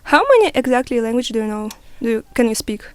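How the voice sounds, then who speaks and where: Young woman host, clear dry voice. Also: a little high, animated, quick pace, a little mellow, dry recording. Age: young adult. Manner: simple, slightly broken English questions. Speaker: podcast host. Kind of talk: student podcast interview.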